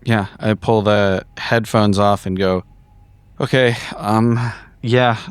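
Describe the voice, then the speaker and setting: A man's voice: on the low side, fairly even intonation, slow pace, clear, resonant tone, no room sound. A man playing a tabletop roleplaying game, improvising in character with casual, sweary, analytical talk.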